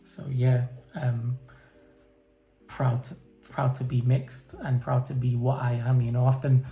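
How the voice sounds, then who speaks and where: Man from the UK, interviewee; flat, measured, hesitant delivery in a roomy space. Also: mid-range voice. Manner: hesitant, thoughtful, many pauses and fillers. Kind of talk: reflective personal interview answers. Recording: roomy echo, faint music behind.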